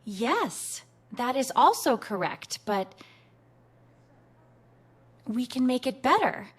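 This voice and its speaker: Slow, clear woman English teacher. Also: sits high, sing-song. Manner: clear, patient, encouraging. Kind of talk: slow scripted classroom lesson.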